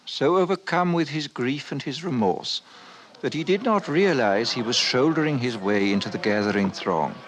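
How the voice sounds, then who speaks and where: A man's voice: medium pitch, fairly bright tone. A man giving grave scripted narration in the manner of a fifties radio drama.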